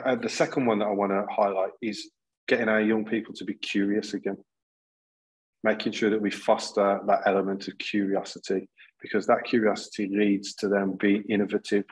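Man, 30s, panel guest on a video call, steady flat delivery. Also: lowish voice, husky, poor audio. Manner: earnest, many restarts and repeated words. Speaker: panel guest, school leader. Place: plain indoor room.

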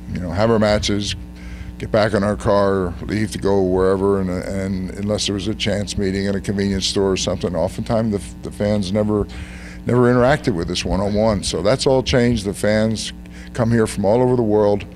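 Older man, low and slightly hoarse. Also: darkish tone. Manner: measured, thoughtful. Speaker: interview guest. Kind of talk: reflective interview answers. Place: convention hotel room. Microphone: handheld mic held by interviewer.

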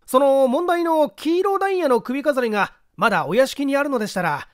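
A man voicing an eager, polite young gentleman in a story reading. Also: extremely high pitch, lively intonation, a little fast, no echo, clean studio sound, voice pushed up.

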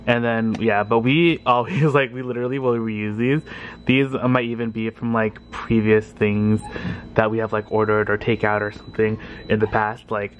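A man's voice: on the low side, level tone, moderate pace, muffled recording, a little hiss or hum. A young man vlogging at home, chatting casually to a handheld camera held at arm's length and laughing often. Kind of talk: casual vlog chat. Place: home kitchen and bedroom.

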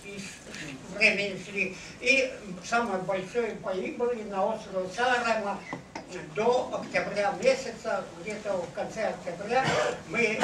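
A man in his eighties or older, a veteran recounting his memories to an audience, haltingly and searching for words. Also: high voice. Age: over 80. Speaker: war veteran giving testimony. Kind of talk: unscripted personal recollection to an audience. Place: meeting hall with seated audience. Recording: echoey hall, noisy, distant camera mic.

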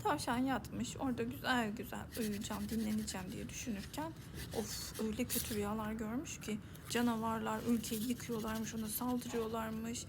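A woman narrating a children's bedtime story in a soft ASMR style, sometimes whispering. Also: a little high, fairly even intonation, normal speed, background noise.

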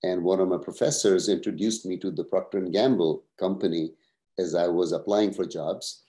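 A man in his sixties, originally from Pakistan, answering interview questions over a video call at a measured pace, with many "uh" pauses. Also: low-pitched, steady tone, mellow. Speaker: guest, professor and retired executive.

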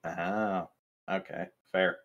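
A man's voice: low voice, steady tone, very slow, fairly bright tone, muffled recording. A man talking casually as a player in a tabletop game. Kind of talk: casual chat during a game.

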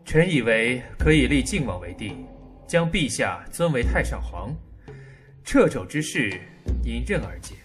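An actor playing a composed, courteous and shrewd court official in a Chinese period audio drama. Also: male voice, medium pitch, sing-song, faint music behind. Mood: coolly firm. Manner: courteous, measured, formal.

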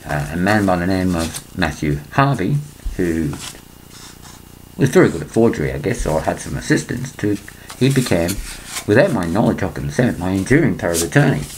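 An older man from England, speaking into a laptop webcam in a small room, rambling and clearing his throat between points. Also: sits low, big pitch swings, moderate pace, a little hiss or hum, rough recording. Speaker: vlogger. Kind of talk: rambling conspiracy monologue to webcam.

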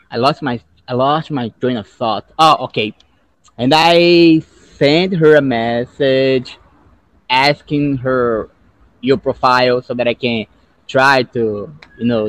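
A man's voice: medium pitch, takes it slowly, dry recording, poor audio. A young man chatting playfully as an English student on a headset over a video call, laughing a lot. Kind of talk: casual chat on a video call.

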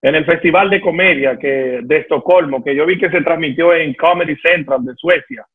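Man's husky voice over a tinny call. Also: fairly high pitch. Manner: short affirmations, slow questions. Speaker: interviewer host. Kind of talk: interview questions. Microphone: video call. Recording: tinny phone-like call audio.

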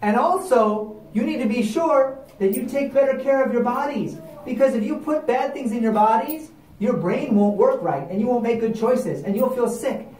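A storyteller voicing a gentle young male preacher who speaks in an earnest, reassuring way. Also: medium pitch, normal speed, hardly any pauses, pitched above the performer's own voice, close to an everyday voice. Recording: roomy, from a distant mic.

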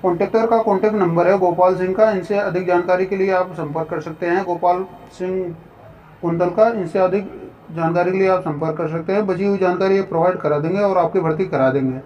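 Man, high voice, job-notice host, distant dull lo-fi mic, faint hiss. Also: fairly even intonation, brisk. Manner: repetitive, addresses viewers as friends. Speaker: job-listing YouTube host.

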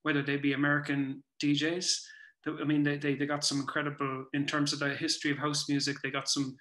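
A man in his forties with a Cork Irish accent, chatting reflectively as an interview guest and often circling back to rephrase in a halting way. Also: a little high, completely flat delivery, bright, forceful tone. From Cork, Ireland. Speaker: guest, playwright and performer. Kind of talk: casual reflective interview chat. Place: small room at home, indoors. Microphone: computer mic on a video call. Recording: roomy video-call sound.

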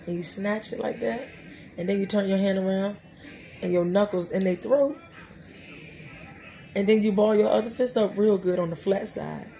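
A woman's voice: medium pitch, normal speed, pauses often. Woman in her 30s, preachy fired-up faith talk, faint music behind. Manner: animated, preachy, talks to herself, laughing asides. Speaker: podcast host. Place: small room, indoors before a dark curtain.

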